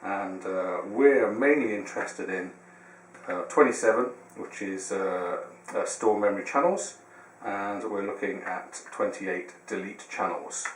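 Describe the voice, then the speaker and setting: A man's voice: low-pitched, measured pace. A man presenting a casual how-to demonstration, explaining step by step in a hesitant way. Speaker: tutorial presenter. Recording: roomy, distant mic, faint noise.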